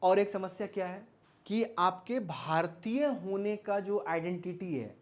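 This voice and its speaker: A man's voice: high-pitched, a little slow. A man with an Indian accent giving an informal explanatory lecture as a teacher, often posing questions and checking in with listeners. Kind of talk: informal explanatory lecture mixing Hindi and English.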